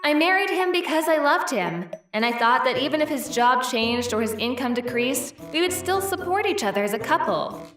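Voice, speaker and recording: Woman as young wife, high-pitched, weary, resolute, earnest manga dub narration, music behind. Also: animated, normal speed, hardly any pauses. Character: weary, resolute young wife telling her divorce story. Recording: music bed under the voice.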